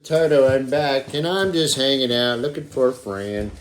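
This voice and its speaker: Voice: mid-range voice, normal speed, reverberant, close to an everyday voice. An amateur puppeteer voicing a friendly, lonely turtle looking for friends in a puppet show. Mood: mild, chatty.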